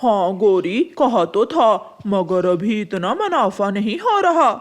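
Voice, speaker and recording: A woman as a slow, wheedling cartoon crow husband. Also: medium pitch, big pitch swings. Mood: hesitant. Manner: drawn-out, scheming, exaggerated. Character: greedy male crow shopkeeper, wheedling husband. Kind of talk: Hindi cartoon character acting.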